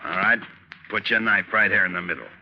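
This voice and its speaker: An actor playing a gruff, domineering mutinous sailor in a 1940s radio drama, curt and commanding. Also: male voice, fairly low pitch, a little fast, slight background noise, close to an everyday voice. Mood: grim, commanding. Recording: dull lo-fi 1940s radio recording.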